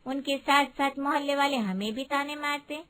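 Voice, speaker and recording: A woman's voice: high-pitched, normal speed, pushed and bright. A woman voicing a judgmental, middle-aged gossiping aunt in a Hindi moral-story cartoon, complaining and scandalized.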